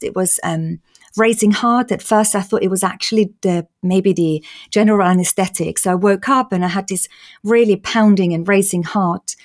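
An Austrian woman in her forties talks candidly as a guest, her accent clear throughout. Her manner is earnest and open, with sentences that flow quickly from one to the next. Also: medium pitch. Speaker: guest, patient advocate. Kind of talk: candid interview conversation.

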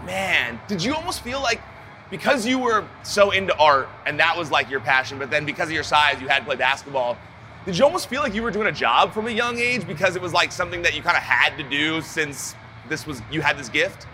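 A man's voice: a little high, lively intonation, brisk, pushed and bright. A male podcast host asking curious follow-up questions in a casual interview at a live event. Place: convention floor. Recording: live event floor with faint background noise.

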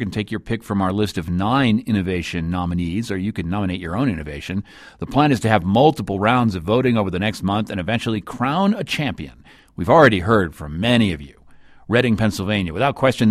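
A man's voice: fairly low pitch, no echo, studio quality. A man with an American accent hosting a radio show, reading from a script.

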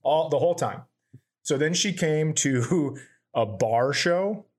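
A man co-hosting a casual podcast, dropping brief interjections and then telling his own story fast. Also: a little high, animated. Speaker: podcast co-host. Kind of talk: casual chat.

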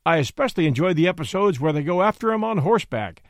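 Male podcast host, high-pitched and animated, scripted intro on a dry close mic. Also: fast talker, dry recording.

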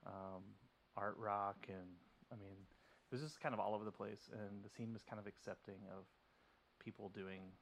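A man from Denton, Texas, a podcast guest, talking casually and haltingly, full of "like" and "um". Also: fairly low pitch, steady tone, gravelly, a bit bright, a little hiss or hum, low-quality recording. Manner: halting, lots of "like" and "um".

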